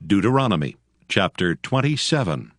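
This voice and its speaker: Grave low-voiced male narrator. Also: very animated, normal speed, a bit bright. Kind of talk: scripted scripture narration. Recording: faint music beneath voice.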